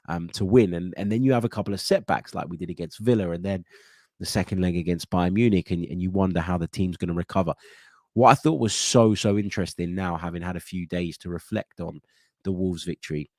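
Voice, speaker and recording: A low-voiced man talks through a football preview in an easy, chatty way, stopping and restarting as he thinks. His nose is stuffed up. The sound is close and dry, with no room around it. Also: darkish tone, dry recording. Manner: thinking aloud, many fillers and restarts. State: congested, blocked nose. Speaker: football channel host. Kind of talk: casual match preview chat.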